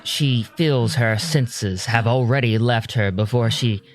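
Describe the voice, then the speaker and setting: A man giving dramatic scripted narration as a storyteller, ominous and deliberate, with pauses. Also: mid-range voice. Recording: loud music under the voice.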